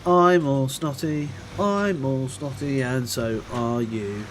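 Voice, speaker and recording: A man's voice: medium pitch, takes it slowly, background noise. A man with a runny, snotty nose giving casual, sweary, self-deprecating commentary as he live-streams a video game. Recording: loud music behind the voice. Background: loud game music and vehicle sounds.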